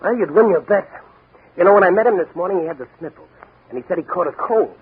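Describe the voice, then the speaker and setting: A man's voice: sits high, fast, breathy edge. A man playing a confident, wisecracking young policeman hero in a 1940s radio drama. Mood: breezy. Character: bold, wisecracking young policeman and masked crime-fighting hero. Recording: tinny, hissy 1940s radio recording.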